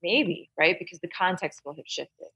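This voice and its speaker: A woman panelist with a deep, creaky voice and a bright, sharp edge. She thinks aloud in casual literary talk, frequently pausing to check that others follow. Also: expressive, no echo.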